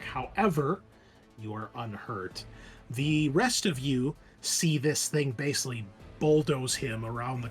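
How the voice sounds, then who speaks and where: A man game mastering a tabletop roleplaying game, explaining rules and narrating the action in casual talk. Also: mid-range voice.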